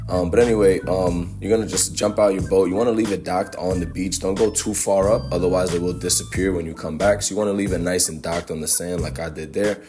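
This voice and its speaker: Low-voiced man, a bit hoarse, over game music. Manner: laid-back, chatty, with many fillers. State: slightly hoarse from a cold. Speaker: gaming guide creator. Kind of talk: casual game walkthrough commentary.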